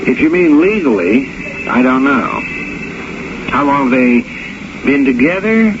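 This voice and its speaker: An actor playing a wry, worldly embassy intelligence man in a 1970s radio drama, talking in a dry, measured way. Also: mid-range voice, big pitch swings. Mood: grave, confiding. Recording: muffled, noisy 1970s radio recording.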